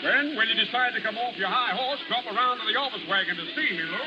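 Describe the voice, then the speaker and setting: Pompous genial carnival colonel, high-pitched, folksy blustering, muffled period radio drama. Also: male voice, fast, music in the background. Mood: jaunty. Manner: folksy pomposity, hemming and repeating himself. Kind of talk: 1930s radio drama acting. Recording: muffled, noisy 1930s radio recording.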